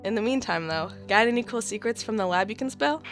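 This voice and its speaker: A woman's voice: fairly high pitch, animated, fast, faint music behind. A young woman playing a fond, teasing plant lover chatting with a partner in a naturalistic radio drama. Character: lovesick, teasing young female plant enthusiast on the phone.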